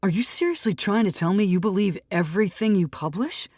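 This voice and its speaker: An audiobook figure of a defensive male skeptic writer, acted by a woman. He argues and pleads earnestly, pitched well up and pushed forward in a close, dry recording. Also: expressive, brisk, darkish tone, breathy edge, dry recording. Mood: incredulous. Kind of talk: audiobook character dialogue.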